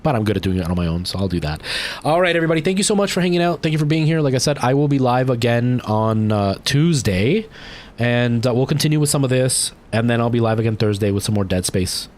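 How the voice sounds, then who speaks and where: A young man casually chatting with viewers as he wraps up a game stream, rambling with lots of fillers and restarts and jumping higher when excited. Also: quick pace.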